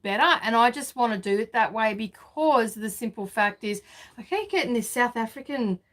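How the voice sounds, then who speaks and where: A woman's voice: fairly high pitch, lively intonation, moderate pace, fairly bright tone. A woman, hosting a casual live sewing chat from her home studio, chatty and laughing often. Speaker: sewing vlog host.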